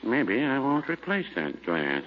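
An actor playing a mild, hesitant, folksy neighbour with a dry delivery in 1940s radio comedy style. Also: male voice, mid-range voice, very animated, a little slow, over loud music. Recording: muffled, very noisy vintage radio recording.

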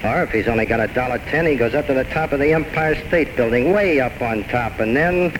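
A man's voice: medium pitch, brisk, close to an everyday voice. Man playing blunt, cynical bartender, noisy old radio. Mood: grimly sardonic. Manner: terse, sardonic, colloquial. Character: blunt, cynical male bartender and listener, unsentimental. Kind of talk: 1940s-1950s radio drama acting.

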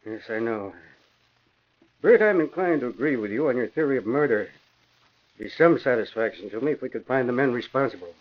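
A man acts an older father in a vintage radio drama. He is measured, subdued and caring, with a medium-pitched voice and muffled old recording. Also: lively intonation, close to an everyday voice. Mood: shaken, sombre. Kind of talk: 1940s radio drama acting.

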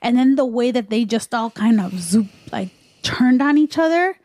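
Female podcast co-host, higher register, dry sound, animated slangy opinions in casual group chat. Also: normal speed, no echo.

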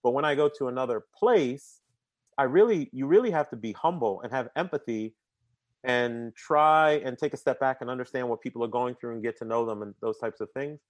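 A man in casual conversation as an interview guest, energetic and talkative. He often repeats a word as he starts a sentence and drops in casual slang. His voice sits at a middle pitch and the recording is dry and close, with little room sound. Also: a little fast, no echo. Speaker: podcast guest.

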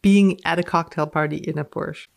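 A woman's voice: deep voice, fairly even intonation, normal speed, gravelly. A woman speaking as a guest on a science podcast, moving between casual chat and reading aloud from a paper.

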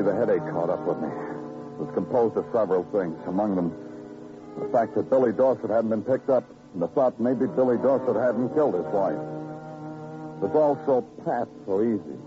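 An American actor playing a weary, hardboiled police detective in a radio crime drama, terse, clipped and brooding. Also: male voice, lowish voice, lively intonation, moderate pace, pauses often, lightly acted. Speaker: actor, lead and narrator. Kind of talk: 1950s radio crime drama acting, hardboiled first-person narration. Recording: muffled old radio recording with music.

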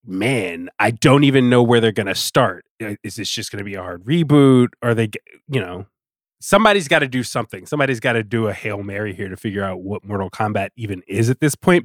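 A man's voice: medium pitch, fairly bright tone, no room sound, clean studio sound. A man speaking as a podcast guest in casual, opinionated discussion, often checking in with the listener as he goes.

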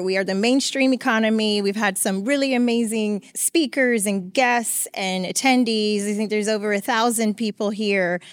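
A woman's voice: fairly high pitch, no room sound, studio quality. A woman hosting a money podcast, effusive and enthusiastic as she talks with guests. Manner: gushing, effusive. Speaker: podcast host. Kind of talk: enthusiastic interview host.

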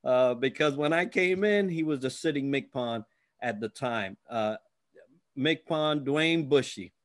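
A man's voice: mid-range voice, takes it slowly. A man hosting an interview podcast, speaking in a deferential, somewhat formal way to his guest. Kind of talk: interview with scripted intro.

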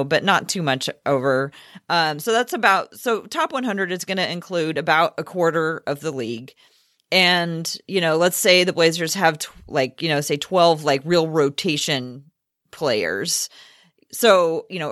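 Female sports podcast host, middle-aged, lowish pitch, chatty conversational read, very dry close sound. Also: fairly bright tone, no room sound. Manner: chatty, conversational, thinks aloud. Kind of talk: casual sports chat.

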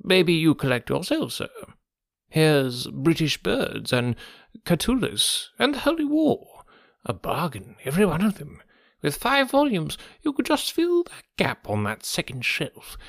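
A man voicing a wizened old book collector with a croaking voice and a deferential, wheedling manner, in an audiobook story. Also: fairly high pitch, huge pitch swings, unhurried, dry recording, studio quality, voice pushed up, full character voice. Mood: wheedling, eager. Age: older. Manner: deferential, wheedling salesman talk.

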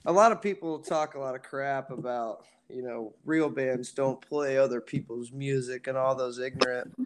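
A raspy-voiced man from a band talks loosely about his music. He rambles, circling back with fillers, in an easygoing interview tone. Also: medium pitch, moderate pace, a little hiss or hum. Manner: relaxed, rambling with many fillers. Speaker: band singer. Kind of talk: casual interview chat.

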